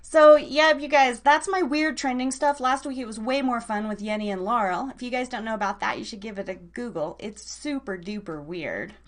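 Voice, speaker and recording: Casting: female live radio and podcast host, higher-pitched voice, chatty, slangy, loose and rambling delivery. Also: moderate pace, hardly any pauses. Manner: informal, slangy, rambling, addresses listeners directly. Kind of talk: casual, chatty live show talk.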